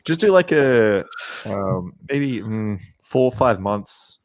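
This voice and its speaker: Deep dude coaching guys, chill, lots of ums, dry mic. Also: male voice, slow pace, no echo. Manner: relaxed, many ums and rights. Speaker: dating coach. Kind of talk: casual podcast chat.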